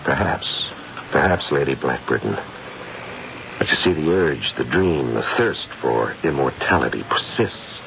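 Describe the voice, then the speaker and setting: A confident psychic detective from an old radio mystery. His low voice swings expressively as he lays out his case with deliberate, theatrical pauses. The broadcast sounds muffled, with faint noise. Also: expressive, vocal fry, exaggerated voice. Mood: solemn, assured. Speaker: narrator and lead character. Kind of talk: 1970s radio drama acting.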